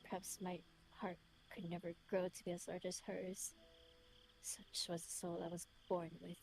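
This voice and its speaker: A woman voicing a lonely, remorseful man with a British accent, reading his confession in a solemn, literary, measured way. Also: fairly low pitch, little pitch movement, creaky, a little hiss or hum, voice pushed down, low-quality recording. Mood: melancholy, brooding.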